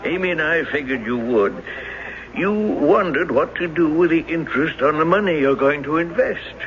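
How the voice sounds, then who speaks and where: An actor playing a calm, atoning ghost with a measured, reassuring manner in a radio drama. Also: male voice, a little high. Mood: calm, assured. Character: calm, atoning male ghost of a former coworker. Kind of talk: old-time radio drama acting. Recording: muffled noisy radio drama recording.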